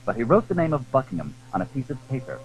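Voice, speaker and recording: A man's voice: mid-range voice, big pitch swings, fast talker, low-quality recording. A man narrating a classic adventure novel in dramatic, scripted storytelling style.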